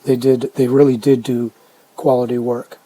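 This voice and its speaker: A man casually narrating a hands-on restoration video, unhurried and halting as he thinks aloud. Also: mid-range voice, level tone, mellow. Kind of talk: casual narrated how-to commentary.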